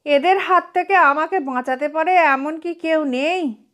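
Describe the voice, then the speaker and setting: Woman playing a high-voiced, harried, frail mother. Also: measured pace, a bit bright. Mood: weary, fearful. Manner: weary, plaintive complaining. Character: frail, skinny, harried, long-suffering mother of four boys.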